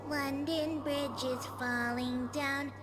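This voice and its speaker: Horror game role: taunting ghost woman, singing high and extremely slowly over loud music. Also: fairly even intonation. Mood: eerie, mocking. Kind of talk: slow sung horror game voice.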